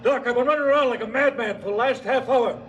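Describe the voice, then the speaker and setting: A man's voice: very high-pitched, quick pace, fairly bright tone, highs cut off, soft background music. An actor playing a cocky, fretful gangster boss and hitman with clipped, colloquial tough-guy talk in a darkly comic radio drama. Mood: urgent, anxious. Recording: 1970s stereo radio drama.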